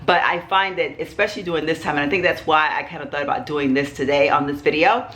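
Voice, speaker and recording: A woman's voice: low-pitched, a bit bright. A middle-aged woman talks casually to her viewers from home. She is fighting a cold, and her talk rambles along with plenty of fillers and asides. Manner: rambling, chatty, many fillers. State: sick with a cold. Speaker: vlogger host. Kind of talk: casual chat to camera.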